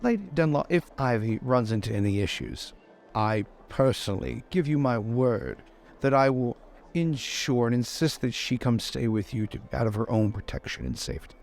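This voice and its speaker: A man playing a gravelly, animated, awkward lord. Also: mid-range voice, normal speed. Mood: earnest promise. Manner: stammering, rambling, courteous. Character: awkward, eager-to-help gentleman lord. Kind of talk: improvised tabletop roleplay.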